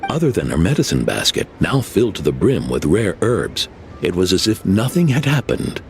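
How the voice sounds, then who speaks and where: A man's voice: low voice, big pitch swings, normal speed, darkish tone, a little hiss or hum. An actor playing a proud, elderly male innkeeper who tells old family legends and scolds skeptics. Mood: storytelling. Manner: grave, lecturing, theatrical. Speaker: guest house keeper. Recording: game audio with faint music.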